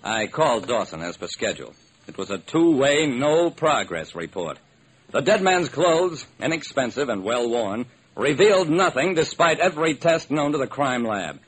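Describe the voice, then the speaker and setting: A man's voice: mid-range voice, sing-song, moderate pace, husky. An actor playing a hardboiled American private detective who wryly narrates his own case in an old-time radio drama. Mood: wry, intent. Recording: noisy old radio recording.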